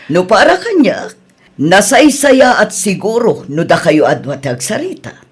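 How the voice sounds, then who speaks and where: A woman's voice: mid-range voice, very animated, a little slow, breathy edge. An actress with a Filipino accent playing a polite but firm female boss in a radio drama, apologetic as she refuses.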